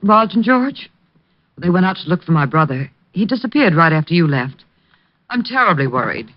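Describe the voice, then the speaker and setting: A woman's voice: on the low side, sing-song, normal speed, no room sound. An actress playing a fretful young woman who has just lost her brother, in a 1950s radio drama. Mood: anxious. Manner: fretful, pleading. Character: anxious young woman, grieving sister. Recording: dry, muffled old radio broadcast.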